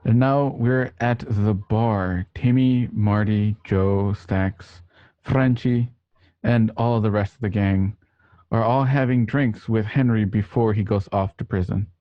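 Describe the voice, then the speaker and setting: A man hosting and narrating an online radio play, with a somewhat halting delivery. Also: fairly low pitch, steady tone, no echo, dull audio. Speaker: host and scene narrator. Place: home, remote online recording.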